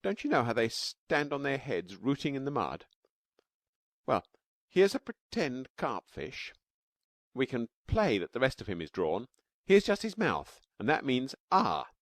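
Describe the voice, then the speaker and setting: A man voicing an eager, chattering little cave girl with a high, squeaky voice. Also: huge pitch swings, a little slow, no echo, clean studio sound.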